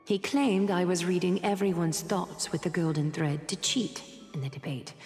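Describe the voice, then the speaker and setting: A woman voicing a dignified, weary female mentor and leader in a fantasy game, speaking in a formal, measured, solemn way. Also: on the low side, gravelly, big-room sound. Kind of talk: scripted game voice acting, reading a letter.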